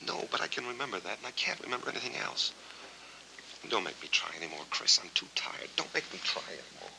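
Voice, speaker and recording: An American actor playing a haunted, bitter amnesiac war veteran in a 1940s film noir, with terse, clipped delivery. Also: male voice, medium pitch, big pitch swings, fast, vocal fry. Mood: weary. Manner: terse, clipped, hard-boiled. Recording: faintly noisy 1940s film soundtrack.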